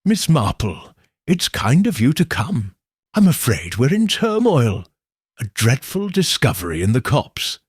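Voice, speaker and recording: A man voicing an anxious, polite gentlewoman in an audiobook, speaking in a shaken, fretful manner. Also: medium pitch, huge pitch swings, unhurried, husky, no room sound, studio quality, exaggerated voice. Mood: relieved, fretful.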